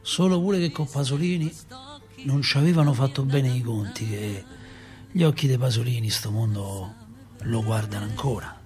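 A man's voice: mid-range voice, normal speed, soft background music, close to an everyday voice. An actor playing a chatty Roman father who tells city tales in a folksy, rambling way, with a Roman accent. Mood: grieving, bitter. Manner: animated, folksy, rambling, rhetorical. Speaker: actor in a radio theatre monologue. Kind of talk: colloquial dramatic storytelling.

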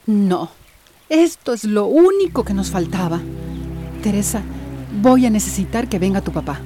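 An actress playing a patient, practical housewife with a placating manner in a radio soap opera. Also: female voice, mid-range voice, lively intonation, moderate pace, over music, lightly acted. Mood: weary, placating.

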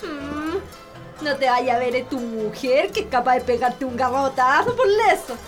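A mocking rural Chilean villager in a radio play. Her high voice teases colloquially, with music faintly behind it. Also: animated, a little slow. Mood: coy, teasing. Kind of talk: radio drama acting.

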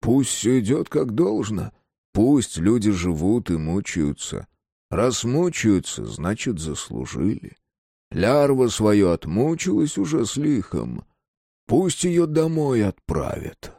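A man voicing a stubborn, gruff village man who answers curtly and grimly. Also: fairly low pitch, very animated, slow pace, warm tone, no echo, studio quality, exaggerated voice. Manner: gruff, curt, grim. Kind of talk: audiobook character voice.

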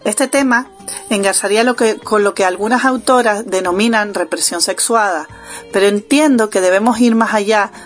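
Woman reading an academic radio column. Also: medium pitch. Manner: measured, academic delivery with small self-corrections.

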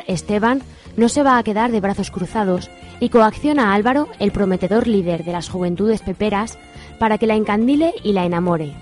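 A woman narrates a radio soap from a script. Her voice is medium in pitch, with music softly playing underneath.

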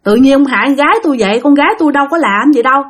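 A woman's voice: sits high, rapid-fire, pitched above the performer's own voice, lightly acted. A woman voicing a frightened grandmother who begs a spirit to spare her daughter. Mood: pleading. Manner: pleading, tearful.

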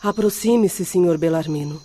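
An actress playing a compassionate but firm spiritist medium in a radio drama, earnest and preachy. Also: female voice, lowish voice, normal speed, mellow, a little hiss or hum, close to an everyday voice.

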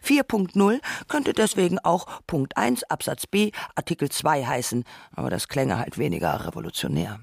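A man's voice: a little high, animated, moderate pace, creaky, hardly any pauses, husky, no room sound. A man narrating a scripted radio essay, arguing his points with dry irony.

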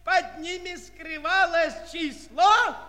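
High-pitched, bombastic tournament herald. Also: male voice, background noise. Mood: excited announcing. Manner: declamatory, theatrical, drawn-out proclaiming. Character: boisterous rhyming male tournament herald in a fairy tale. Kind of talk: children's audio play, declaimed verse. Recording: 1960s studio play with music behind.

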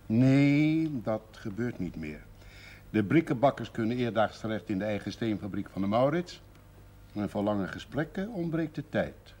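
Blunt, avuncular older gentleman. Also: fairly low pitch, animated, normal speed. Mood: reproachful. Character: older provincial gentleman, genial but blunt, worried father. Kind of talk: 1970s Dutch television drama acting.

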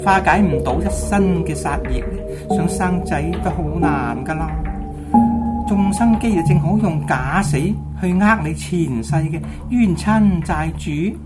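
A woman playing a solemn Taoist master in a radio drama, lecturing with measured authority. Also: sits low. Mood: knowing. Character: solemn, knowing Taoist master giving ritual advice. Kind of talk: Cantonese radio drama acting.

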